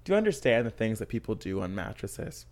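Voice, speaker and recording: A woman's voice: rumbling low, very animated, brisk, a little mellow. A woman in her twenties co-hosting a podcast, chatting in a brash, swearing, comedic way. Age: 20s. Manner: brash, swears a lot, goes dramatic and squealy for laughs. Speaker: podcast co-host. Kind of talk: casual comedic chat.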